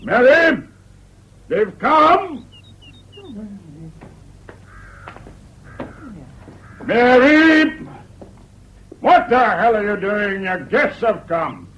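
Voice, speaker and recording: An actor playing a bullying, impatient British general in a radio drama, brusque and barking in manner. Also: male voice, medium pitch, animated, moderate pace, close to an everyday voice. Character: gruff, bullying, impatient retired general and father. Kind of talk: BBC radio drama acting. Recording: dull lo-fi old radio recording, background noise.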